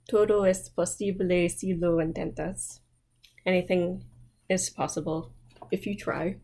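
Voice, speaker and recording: An American woman with a low voice and plenty of gravelly fry hosts a relaxed online library session. She talks in a chatty, friendly way, full of little asides and fillers, as if speaking straight to her webcam. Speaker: library program host. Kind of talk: casual online library talk.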